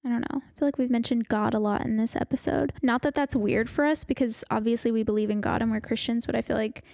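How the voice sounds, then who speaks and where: A woman's voice: on the high side, steady tone, fast, gravelly, darkish tone, no echo, studio quality. A young woman co-hosting a podcast, chatting in an upbeat way with lots of fillers. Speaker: podcast co-host. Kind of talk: casual chat.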